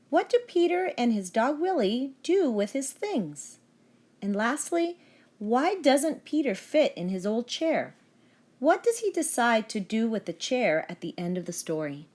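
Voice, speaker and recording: A woman's voice: fairly high pitch, sing-song, unhurried, darkish tone. A woman narrating a read-aloud for young children, with vocabulary and questions. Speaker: story reader.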